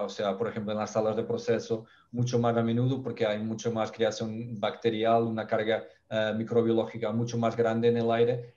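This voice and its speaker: A man's voice: medium pitch, warm tone, highs cut off. Portuguese-accented man presenting a webinar. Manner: hesitant, searching for words, many fillers. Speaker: ventilation expert presenter. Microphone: video call.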